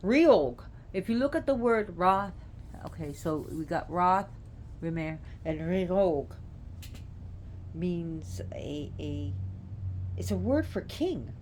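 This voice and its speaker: Slow-talking woman, halting, noisy recording. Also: lowish voice, very animated, darkish tone. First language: not Gaelic. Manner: halting, searching for words. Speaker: presenter explaining names of a Celtic deity. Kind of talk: informal unscripted lecture. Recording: clear background noise.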